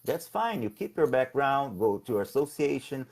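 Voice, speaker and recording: A man from Brazil, a political candidate talking in a conversational interview, halting and often repeating his words. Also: medium pitch, very animated, vocal fry, darkish tone.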